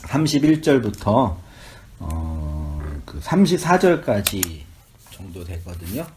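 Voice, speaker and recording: A man, a pastor, leading a small-group Bible study in a relaxed, chatty way, asking the group questions and laughing easily. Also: deep voice, takes it slowly, background noise. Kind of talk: casual Bible study teaching.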